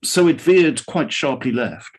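Male podcast co-host, mid-pitched with a husky edge, talking informally and repeating words as he goes. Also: lively intonation, a little slow. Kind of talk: casual chat.

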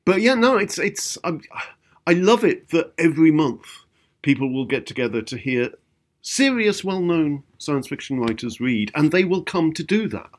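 A man co-hosting a podcast, chatting casually in a halting, emphatic way and repeating phrases to stress his point. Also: fairly high pitch, very animated. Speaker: podcast co-host and writer. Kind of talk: casual chat.

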